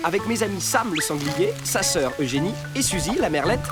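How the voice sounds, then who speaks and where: Woman playing a young fox narrating a cartoon intro, lowish lively voice, big music. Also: a little fast, lightly acted. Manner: chatty storytelling. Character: young male fox telling his story.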